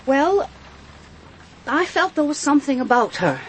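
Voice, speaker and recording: A woman's voice: sits high, sing-song, unhurried, a little hiss or hum. An actress playing an earnest, perceptive policewoman in a radio crime drama, thoughtful and a little hesitant.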